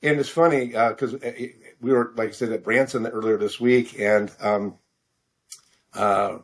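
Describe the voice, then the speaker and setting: A man's voice: lowish voice, steady tone. Hesitant middle-aged American man chatting. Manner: hesitant, halting, self-deprecating. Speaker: author interview guest. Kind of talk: casual interview chat.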